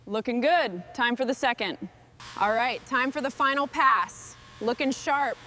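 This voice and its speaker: Woman, somewhat high pitch, machine restorer, short instructions, clipped delivery, light background noise. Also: a little slow, sharp tone. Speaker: machine restorer presenting the work. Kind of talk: short work narration and crew instructions.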